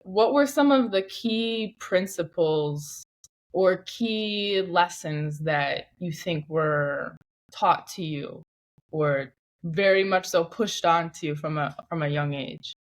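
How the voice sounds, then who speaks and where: A woman's voice: fairly low pitch, takes it slowly. A woman hosting an interview show, talking in a conversational way with her guest. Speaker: podcast host and interviewer.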